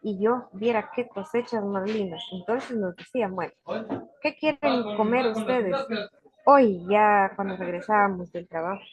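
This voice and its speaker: An older woman, unhurried and full of restarts, telling family stories as a guest on a video call. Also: medium pitch, crowd noise. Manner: warm, unhurried storytelling with frequent restarts. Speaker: guest speaker, forest guardian. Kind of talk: casual storytelling interview. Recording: dull lo-fi video call audio.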